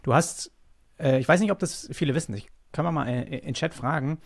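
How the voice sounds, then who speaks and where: A man's voice: fairly high pitch, a little fast, vocal fry, no room sound, studio quality. A man hosting a casual chat, talking enthusiastically with many fillers and restarts. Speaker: host.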